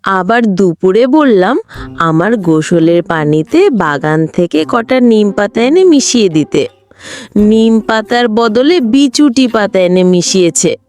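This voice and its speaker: A woman's voice: mid-range voice, lively intonation, slow, darkish tone, faint music behind. A woman voicing a distressed, complaining mother of a naughty boy in a cartoon. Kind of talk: cartoon dialogue.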